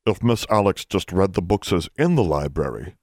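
A man's voice: deep voice, expressive, moderate pace, a little mellow, no room sound, studio quality. A man voicing a timid, servile library elf in an audiobook, speaking eagerly in broken servant grammar. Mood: earnest, pleading. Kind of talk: audiobook character voice.

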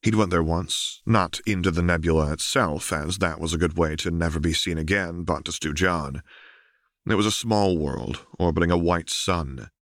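A man narrating a fan fiction audiobook in a steady, even storytelling manner. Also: low voice, normal speed, dry recording, clean studio sound.

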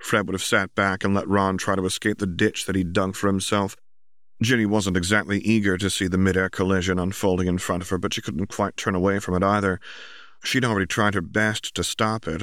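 A man's voice: low-pitched, fairly bright tone, dry recording, studio quality. A man reading a story aloud as scripted audiobook narration.

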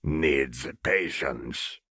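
A huge, cryptic fish-folk cook, rumbling out halting, broken phrases. His voice sits extremely low and gravelly, with a husky edge, and each word drags out very slowly. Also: bright, forceful tone, no echo, clean studio sound, full character voice. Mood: calm, cryptic.

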